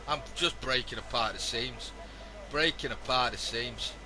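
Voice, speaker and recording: A man commentating a live sim racing stream, hoarse and losing his voice, joking wearily with the chat. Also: medium pitch, unhurried, pushed and bright, a little hiss or hum. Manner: weary, self-mocking banter with the chat.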